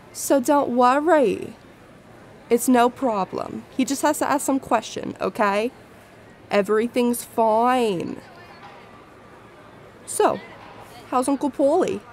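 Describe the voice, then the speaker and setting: A woman's voice: on the high side, a little mellow, a little hiss or hum. A woman playing an exasperated, defensive grown daughter talking to her mother in a comic stage monologue, in short placating or bickering bursts.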